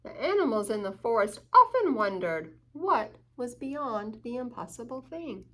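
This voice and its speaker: A woman reads a picture book aloud to young children. Her voice is high and very expressive, with very slow, dramatic emphasis and inviting questions to listeners. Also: poor audio. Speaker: children's story-time host.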